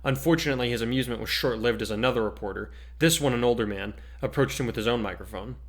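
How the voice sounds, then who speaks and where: A man's voice: mid-range voice, quick pace, roomy sound. A man with a mid-range voice works as host and narrator. He talks casually and also reads stories aloud with drama. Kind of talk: casual chat and dramatic story reading.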